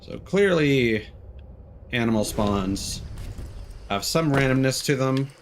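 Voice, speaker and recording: A man's voice: mid-range voice, expressive, slow. Man streaming a game over noisy ambience. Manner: thinking aloud in short, unscripted remarks. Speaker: video game live streamer. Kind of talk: casual gameplay commentary.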